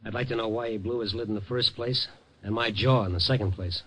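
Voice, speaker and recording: A man's voice: fairly low pitch, steady tone, quick pace, natural voice, rough recording. An actor playing a kindly, world-weary newspaperman, wry and slangy, in 1950s radio drama with noir-style narration. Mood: wry, sympathetic.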